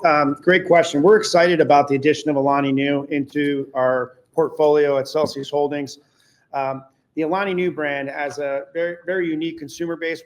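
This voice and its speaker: Higher-pitched businessman answering analysts. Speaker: company executive answering analysts. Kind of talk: earnings call Q&A answers.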